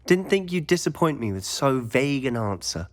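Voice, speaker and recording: A man playing a confident, mischievous teenage schoolboy wizard in a video game, with a British accent and a smooth, conspiratorial way of talking. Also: medium pitch, sing-song, normal speed, lightly acted. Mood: probing, curious. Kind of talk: video game cutscene acting. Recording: music behind the voice.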